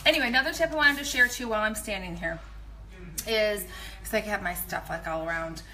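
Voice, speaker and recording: A woman's voice: medium pitch, brisk. A pregnant woman in her thirties, a nutrition coach, chatting casually and rambling through meal prep tips in her home kitchen, filmed on a phone held at arm's length. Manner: chatty, rambling, animated asides. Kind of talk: casual live video tips.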